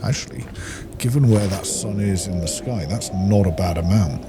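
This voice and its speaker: A man's voice: low voice, moderate pace. A man giving casual, understated gameplay commentary, half talking to himself as he plays. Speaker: gaming video host. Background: game music.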